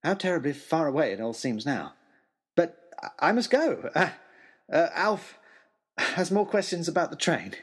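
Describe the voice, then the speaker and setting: A man narrating an old-fashioned first-person adventure story in a theatrical way, with deliberate dramatic pauses. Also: on the high side, sing-song, slightly rough. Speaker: narrator of a serial audio story.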